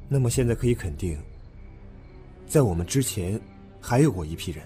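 A man's voice: lowish voice, animated, normal speed, warm tone, faint music behind, natural voice. An actor playing a level-headed, talkative young man among friends in an audio drama. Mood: grave, reasoning. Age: young adult. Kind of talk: Chinese audio drama acting.

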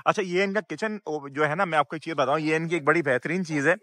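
Excited Pakistani man touring a buffet. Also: on the high side, fast talker. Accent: Pakistani Urdu. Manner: excited, exclamatory, chatty with staff. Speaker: food vlogger. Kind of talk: casual food vlog chat. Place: outdoor restaurant at dusk.

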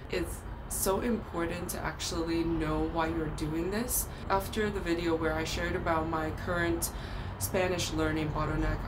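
A woman's voice: deep voice, background noise. A young woman in her twenties with a Chinese accent, whose first language is Chinese, earnestly explaining language-learning tips to camera in a casual vlog, with occasional ums and restarts. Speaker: language vlogger. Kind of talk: casual talking-head vlog. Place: outdoors by a brick wall.

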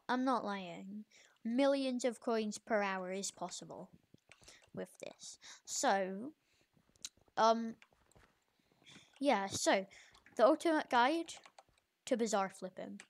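A young video creator with an informal, halting, self-correcting way of talking, giving a casual game tutorial. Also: mid-range voice. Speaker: gaming video creator, host.

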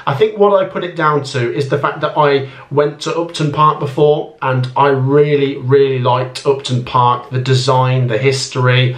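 A man's voice: mid-range voice, steady tone, normal speed, few pauses, echoey room. A young man in his twenties giving a casual football ranking commentary, chatty and thinking aloud with plenty of ums, filmed at a desk in a small room at home. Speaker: football YouTuber.

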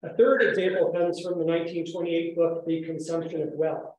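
Echoey male lecturer with a mellow voice. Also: on the high side, little pitch movement, brisk. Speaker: academic lecturer. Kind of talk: academic conference talk with read-out quotations. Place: echoey indoor room, speaker standing while presenting. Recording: very echoey room sound.

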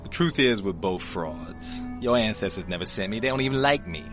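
An actor voicing a small, self-deprecating guardian dragon in an animated film, talking in a confessional, conversational way. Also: male voice, lowish voice, a little fast, natural voice. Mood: dejected, confessing. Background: music.

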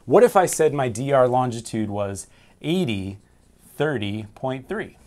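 A man's voice: medium pitch, animated, a little slow, darkish tone. A man in his forties teaching navigation at a whiteboard, explaining step by step and asking and answering his own questions. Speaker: navigation instructor. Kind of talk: whiteboard lesson. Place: small room with framed pictures and signal flags.

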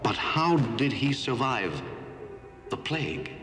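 A man's voice: medium pitch, sing-song, takes it slowly, fairly bright tone, soft background music. A man playing a resolute starship captain in a cartoon, earnest and commanding. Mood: earnest, firm. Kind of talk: 1970s TV cartoon acting.

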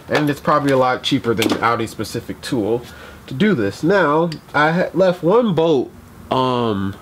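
Young man talking through a car repair. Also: medium pitch. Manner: rambling, many ums and restarts. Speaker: DIY car repair vlogger. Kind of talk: casual how-to narration while working. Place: outdoors by a car at night, dim light. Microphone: camera mic, moves around while working.

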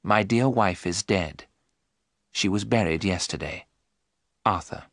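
A man's voice: low-pitched, measured pace, a bit bright, dry recording, studio quality, heavily acted. Man acting a sombre, grieving widower. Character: grieving widowed doctor, pale and sad.

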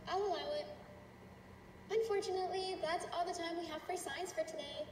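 A woman's voice: very high voice, a little fast. A woman voicing a cheerful but menacing cartoon schoolteacher, sugary and condescending as she addresses a class. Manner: sugary, gushing, condescending with veiled threats. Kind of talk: character voice acting, addressing a class. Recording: roomy, distant, echoing with noise.

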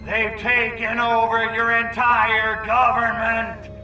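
High-pitched cocky alien invader. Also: male voice, completely flat delivery, takes it slowly, sharp tone, distant mic, muffled recording, faint music behind, heavily acted. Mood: exasperated. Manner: sarcastic, blustering. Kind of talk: video game cutscene acting.

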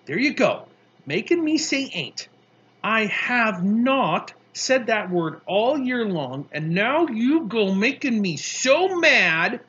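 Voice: medium pitch, takes it slowly, pushed and bright, slightly rough, dull audio, full character voice. A narrator voicing an angry, bossy young girl from a storybook, with snappish, emphatic scolding. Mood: furious, scolding.

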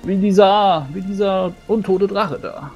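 A man's voice: high voice, unhurried, darkish tone, faint music behind. A man playing a blunt, knowing adventurer who talks colloquially in a tabletop roleplay. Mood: recalling.

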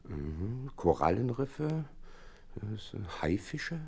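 An actor playing a detective's earnest, easily exasperated doctor companion in a German audio drama, with a husky voice. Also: male voice, on the low side, very animated, very slow, a little mellow, exaggerated voice. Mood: intrigued. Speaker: narrator and character.

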